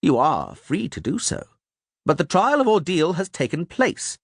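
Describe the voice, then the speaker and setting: A man playing a calm, coldly ironic barber surgeon in a fantasy audiobook, speaking in a measured, mocking way. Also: fairly high pitch, vocal fry, dry recording, clean studio sound, pitched above the performer's own voice, full character voice. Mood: cool irony.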